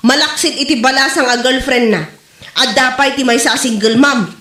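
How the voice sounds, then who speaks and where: A woman's voice: high voice, moderate pace, bright, forceful tone. A woman with an Ilocano accent playing a worried, scolding middle-aged mother and exasperated wife in a comedy radio drama. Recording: roomy, echoey voice recording.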